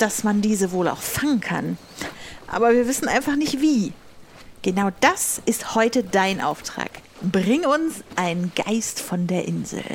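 A woman's voice: on the high side, a little hiss or hum. A woman playing a commanding pirate captain in an audio role-play adventure.

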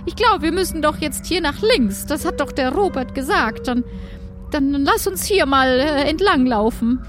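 A woman's voice: high voice, lively intonation, moderate pace. A woman playing a small, timid but devoted adventurer, speaking earnestly and hesitantly in an improvised audio adventure. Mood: excited. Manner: hesitant, earnest, repeating words.

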